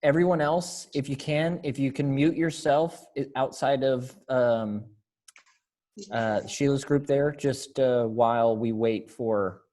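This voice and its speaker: Man, thirties, medium pitch, casual, halting, thinking aloud, leading a group over video call. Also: fairly even intonation, warm tone. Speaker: director leading a readers theater rehearsal. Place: indoor room, on a video call.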